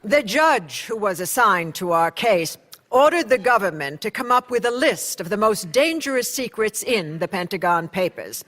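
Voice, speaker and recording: An actor playing an earnest newspaper insider, narrating a radio drama in a measured, scripted style. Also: male voice, high-pitched, very animated, a bit bright, long reverb tail. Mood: measured, suspenseful. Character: earnest male newspaper insider recounting a court battle.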